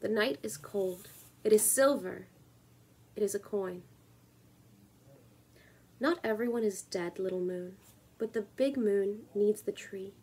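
A young woman you might find in her plant-filled bedroom, reading poems slowly to a camera in a soft-edged, mellow voice. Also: mid-range voice, breathy edge, low-quality recording. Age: 20s. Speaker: poetry reader and video creator. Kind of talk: spoken word poetry reading with casual introduction. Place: small room at home, indoors.

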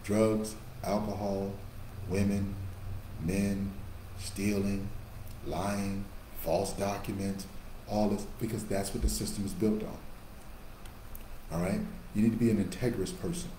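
A man in his fifties preaching a sermon through a webcam in a small office. His voice is low and warm, and the room adds a noticeable echo with a faint hum behind it. He builds to emphatic bursts and then drops back to quiet asides. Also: level tone, measured pace, pauses often. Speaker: pastor. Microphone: webcam on a video call.

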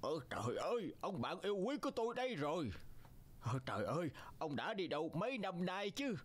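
A man voicing a warm, effusive old wine god in a cartoon fairy tale, full of exclamation. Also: sits high, very animated, fairly quick. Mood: overjoyed.

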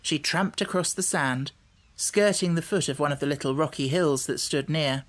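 A man reading scripted storybook narration as an audiobook narrator, measured and carefully articulated. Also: fairly high pitch.

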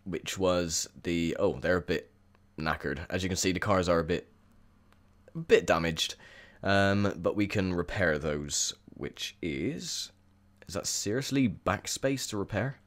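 A young man with a croaky, sore voice, chatting casually through gameplay commentary with lots of ums. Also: low voice, a little slow, a bit bright. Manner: chatty, rambling with many ums. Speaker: gaming video host.

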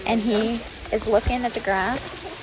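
A woman's voice: a little high, fairly even intonation, a little slow, a crowd in the background, low-quality recording. A young woman vlogging on a rainy forest hike, giggly and chatty, filming on a phone held at arm's length. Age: young adult. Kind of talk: handheld vlog narration. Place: rainy forest trail outdoors. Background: rain and a stream.

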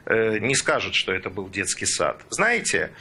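A man's voice: lowish voice, big pitch swings, normal speed, pushed and bright, slightly rough. A middle-aged man joining a radio discussion over a video call on a headset, talking ironically with many fillers. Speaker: journalist guest. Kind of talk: remote discussion contribution. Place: room at home.

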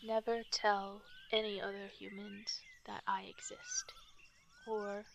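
A woman voicing a guarded, protective wolf fairy in a soft, halting, often whispered ASMR roleplay. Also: medium pitch, huge pitch swings, full character voice. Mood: guarded, gentle. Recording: nature ambience and birdsong noise. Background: forest sounds, birdsong.

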